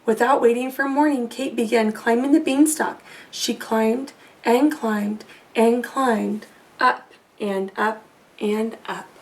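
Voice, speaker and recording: A woman reading a storybook aloud expressively for children, pausing to put questions to listeners. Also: on the high side, steady tone, distant mic. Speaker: storybook reader.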